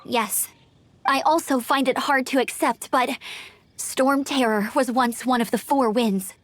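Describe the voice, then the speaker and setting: A woman playing an energetic, earnest young knight scout in a video game, eager and talkative. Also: high voice, unhurried, a little hiss or hum. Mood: serious.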